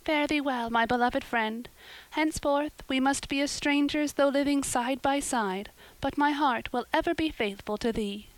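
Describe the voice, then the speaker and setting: A woman's voice: high voice, normal speed, dry recording, pitched above the performer's own voice, lightly acted, low-quality recording. A woman voicing a romantic, dramatic young girl in an audiobook, speaking earnestly in flowery, old-fashioned phrases. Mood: mournful. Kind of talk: audiobook character voice.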